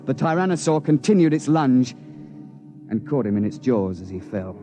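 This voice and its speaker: A gruff, seasoned British safari guide, played by a male radio actor, with a mid-pitched man's voice. He tells his adventure in clipped, old-school phrases, in vintage 1950s radio style with music in the broadcast. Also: sing-song, moderate pace, mellow, close to an everyday voice. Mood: gripping narration. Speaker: narrator and lead character. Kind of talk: 1950s radio drama acting, narrating an adventure.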